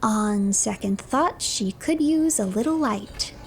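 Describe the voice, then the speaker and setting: A woman narrating a video game as a story in a scripted third-person style, storyteller-like, often dropping to a hush at the ends of phrases. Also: mid-range voice, lively intonation, slow pace, slight background noise. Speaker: streamer narrating game playthrough.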